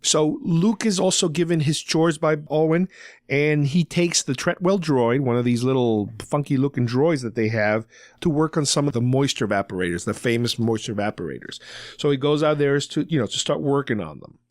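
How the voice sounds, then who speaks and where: A man's voice: mid-range voice, no room sound, clean studio sound. A man co-hosting a podcast, casually recapping a story in an explanatory, conversational way. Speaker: podcast co-host.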